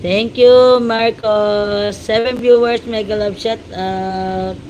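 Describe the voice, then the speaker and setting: A woman with a Filipino accent hosting a casual live stream, greeting viewers with repeated shout-outs and mixing Tagalog and English. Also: medium pitch, fairly even intonation, slow pace, lo-fi sound, slight background noise. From Philippines. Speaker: livestream host. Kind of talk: casual livestream chat.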